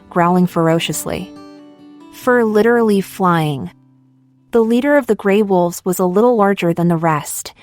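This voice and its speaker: A woman's voice: fairly low pitch, darkish tone, dry recording. A woman narrating fiction in a scripted, dramatic audiobook style.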